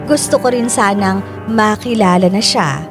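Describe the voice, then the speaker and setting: A woman's voice: medium pitch, measured pace. A woman delivering scripted first-person storytelling as the letter narrator of a radio drama. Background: music.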